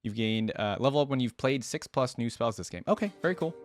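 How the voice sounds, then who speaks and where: A man's voice: mid-range voice, expressive, quick pace, dry recording, soft background music. A young man hosting a reaction video, commenting casually in a laid-back, musing way.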